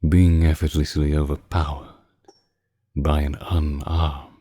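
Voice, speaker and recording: A man playing an ancient, suave vampire lord in a scripted roleplay drama, speaking with deliberate, condescending menace and archaic phrasing. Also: a bass voice, flat delivery, warm tone, dry recording, clean studio sound. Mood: amused, condescending. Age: older. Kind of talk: scripted roleplay audio drama, intimate close-mic monologue.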